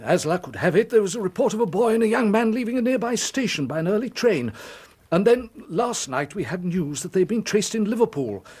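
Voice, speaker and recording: An actor playing an anxious, pompous Victorian headmaster with an English RP accent in a radio drama, formal and easily flustered. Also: male voice, high-pitched, lively intonation, a little fast, darkish tone, slightly rough. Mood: apologetic.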